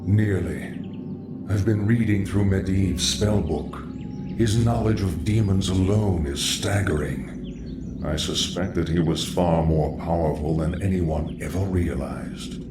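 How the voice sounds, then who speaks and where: A man voicing an undead lich sorcerer in a video game, solemn and measured, with a hollow, ghostly echoing voice. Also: deep voice, over loud music. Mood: studious. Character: undead lich sorcerer, scholarly, patient, sinister servant. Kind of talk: dramatic video game acting. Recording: echoing, distant sound.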